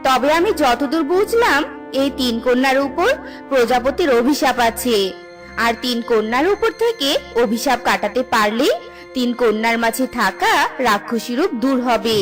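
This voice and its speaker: A woman voicing a wise, calm fairy mother in a cartoon fairy tale, measured and explanatory. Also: on the high side, faint music behind, lightly acted. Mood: calm, advising.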